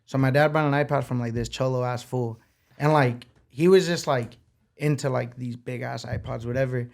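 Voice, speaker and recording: A man's voice: medium pitch, moderate pace, gravelly. A man from Baja, Mexico, raised in San Diego, telling stories as a rapper guest in a casual interview, full of slang and swearing. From born in Baja, Mexico, raised in San Diego. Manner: slangy, animated storytelling with frequent "like" and swearing.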